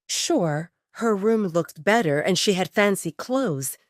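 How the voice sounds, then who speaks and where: Female romance audio drama narrator: lively, wide-ranging intonation, scripted storytelling, dry studio-like sound. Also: medium pitch, takes it slowly, no echo.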